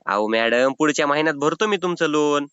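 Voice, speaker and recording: Fast-talking, cheeky rural Marathi loan dodger played by a man. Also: fairly high pitch, expressive, fairly bright tone, clear, resonant tone, no echo. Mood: whiny excuses. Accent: rural Maharashtrian Marathi. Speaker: prank caller playing a loan defaulter. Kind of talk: comic phone call skit. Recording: slightly dull phone-call audio.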